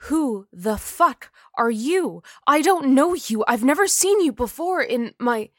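A woman voicing a young woman's ghost, confused and hostile, who shouts and swears. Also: medium pitch, animated, slow pace, no echo, studio quality. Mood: furious.

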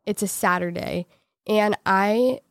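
A woman's voice: medium pitch, slow pace, dry recording, studio quality. A woman co-hosting a podcast, chatting casually and telling a story, sounding nasal from a cold. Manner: chatty storyteller, laughs often, teasing. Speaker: podcast co-host. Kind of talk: casual chat.

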